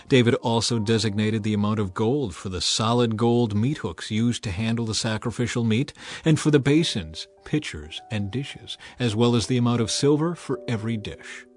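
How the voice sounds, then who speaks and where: Male scripture narrator, mid-range voice, measured and solemn scripted read, light music bed. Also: medium pitch, a little mellow. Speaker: Bible narrator. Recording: music behind the voice. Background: background music.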